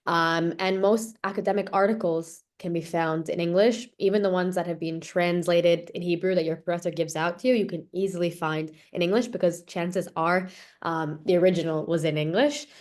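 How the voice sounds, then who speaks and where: A woman's voice: lowish voice, fairly even intonation, moderate pace, darkish tone. A young woman speaking casually as a student panelist on a video call, explaining things informally. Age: young adult.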